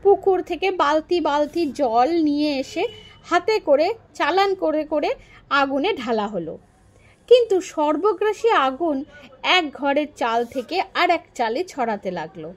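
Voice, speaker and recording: A woman narrating a short story as a storyteller, in an expressive, dramatic audiobook style. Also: high-pitched, measured pace.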